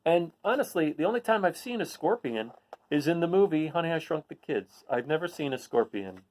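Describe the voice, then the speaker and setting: A man's voice: fairly high pitch, very animated, fairly quick, darkish tone, rough recording. A man reading a children's picture book aloud, adding casual asides and putting questions to his listeners. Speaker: read-aloud host.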